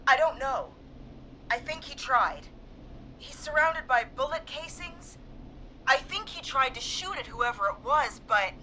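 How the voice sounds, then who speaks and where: Frightened yet determined female dispatcher, bright forceful voice, game drama, faint noise. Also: high voice, expressive, unhurried, full character voice, low-quality recording. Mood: shaken. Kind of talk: video game voice acting.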